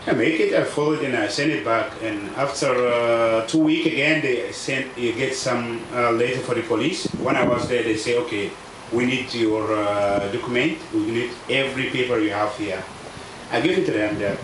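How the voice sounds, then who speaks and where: South Sudanese man in his thirties, halting non-native English, outdoor tent mic, echoey and distant with background noise; personal testimony. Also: mid-range voice. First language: a South Sudanese language. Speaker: refugee speaker. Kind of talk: personal testimony at a public event. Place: outdoors under a tent canopy at a camp. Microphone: table microphone on stand.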